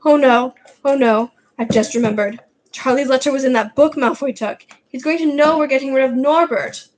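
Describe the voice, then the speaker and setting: A woman's voice: high voice, racing, voice pushed up, close to an everyday voice, low-quality recording. A woman voicing a jumpy, grumbling young boy wizard in a read-aloud storybook, in a raised, strained voice. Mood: panicked.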